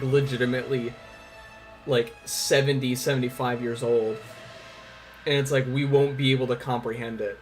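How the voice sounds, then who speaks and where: A man in his twenties chatting casually on a livestream, informal and rambling, with some swearing. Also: mid-range voice. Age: 20s. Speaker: livestream host. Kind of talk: casual livestream chat with viewers. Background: music.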